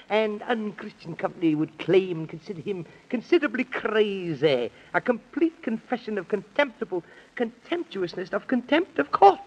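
An actor playing a pompous, frantic, stammering professor in a 1930s children's radio comedy. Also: male voice, high voice, heavily acted. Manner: stammering, repeating himself, overblown alliterative oratory. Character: frantic, pompous, loony male professor prosecuting in mock court. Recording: muffled vintage 1930s radio recording.

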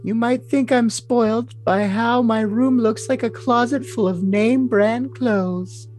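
A man's voice: very high voice, slow pace, darkish tone. A man voicing a lovesick, scheming young woman in a cutesy, coy, overly dramatic comic reading of messages. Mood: giddy, affectionate. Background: music.

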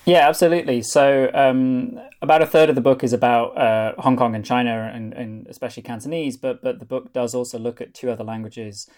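A man's voice: medium pitch, steady tone, a little fast, hardly any pauses, a little mellow. A man from North Wales, a Welsh speaker, answering interview questions as an author, earnest, with many restarts and filler words. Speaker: author and guest. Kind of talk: podcast interview.

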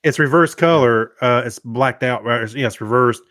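A man's voice: mid-range voice, normal speed, fairly bright tone, no room sound, clean studio sound. A middle-aged man co-hosting a podcast in casual chat, talking in a rambling way with many restarts and fillers. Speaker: podcast co-host.

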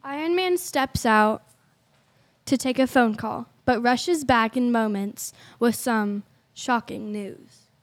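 A woman's voice: a little high, takes it slowly. A woman reading scripted story narration as the narrator of an audio play.

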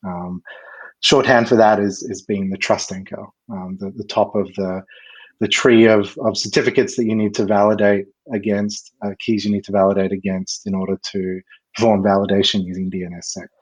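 Low-voiced man explaining at measured length. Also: flat delivery, husky, poor audio. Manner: measured, with frequent um and uh fillers. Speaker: guest expert. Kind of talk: technical explanation in an interview.

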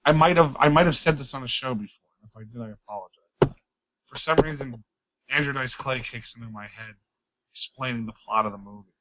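A hoarse man, a podcast guest, rambling wryly in casual comedy chat. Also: medium pitch, a little fast, very noisy. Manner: rambling, wry. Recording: slightly dull lo-fi audio.

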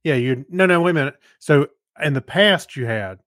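Halting man chatting on a podcast. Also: on the high side, lively intonation, fairly bright tone, dry recording, clean studio sound. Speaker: podcast co-host. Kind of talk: casual podcast chat, reading listener feedback.

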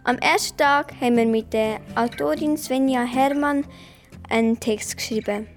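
A woman's voice: a little high, moderate pace, faint music behind. A girl aged ten to twelve giving a scripted reading. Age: child, 10 to 12. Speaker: young workshop participant reading own text.

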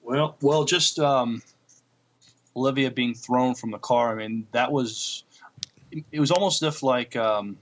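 A man's voice: medium pitch, unhurried. A man co-hosting a podcast, chatting casually about television and often hesitating as he searches for words. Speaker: podcast co-host. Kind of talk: casual TV review chat.

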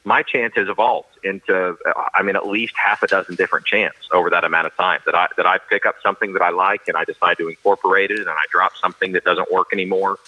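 A man with a carrying baritone voice, a professional auctioneer giving earnest, detailed interview answers with frequent restarts. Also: fairly even intonation, fast talker, few pauses, bright, forceful tone, poor audio. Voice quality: baritone voice that carries. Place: studio.

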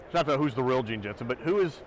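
A man's voice: medium pitch, lively intonation, fast, slight background noise, rough recording. A man hosting a podcast interview, rambling through his questions and swearing casually. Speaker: podcast host. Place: trade show booth, outdoors.

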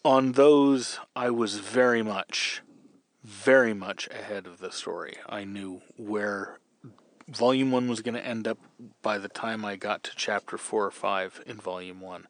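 A man's voice: on the low side, fairly even intonation, measured pace, no room sound. A man hosting a podcast, casually explaining his working methods as a novelist in answer to a question. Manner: explanatory, conversational. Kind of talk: casual advice talk answering a listener question.

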